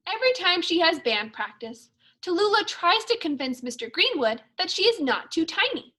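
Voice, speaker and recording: A woman teacher reading a storybook aloud for young children, expressively and in measured phrases. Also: very high voice, big pitch swings, sharp tone. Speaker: second grade teacher.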